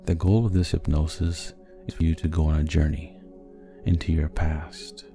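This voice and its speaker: Male sleep hypnosis narrator, very low and gravelly, soothing and measured, over faint music. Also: little pitch movement. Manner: soothing, gentle, measured delivery. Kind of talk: sleep hypnosis guided meditation, scripted narration.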